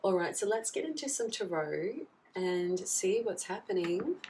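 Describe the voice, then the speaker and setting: A woman with a husky voice from a recent flu, giving a casual tarot reading and often checking in with "okay?". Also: medium pitch, animated, unhurried, darkish tone. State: husky, getting over a flu. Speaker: tarot reader. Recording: slightly distant mic, faint background noise.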